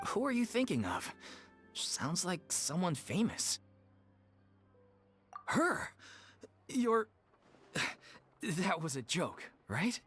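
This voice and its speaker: A man's voice: high-pitched, wildly swooping pitch, very slow, long pauses, a bit bright, faint music behind, exaggerated voice. A man voicing an earnest, weary director of a small amateur theater troupe in a video game. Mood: surprised.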